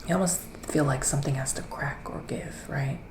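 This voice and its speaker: A man playing a gentle psychic witch in a soft ASMR roleplay, partly whispering, with hesitant, drawn-out phrasing. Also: medium pitch, lightly acted. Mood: soothing, assured. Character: gentle male witch giving psychic tarot readings. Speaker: ASMR performer. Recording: roomy echo, clear background noise.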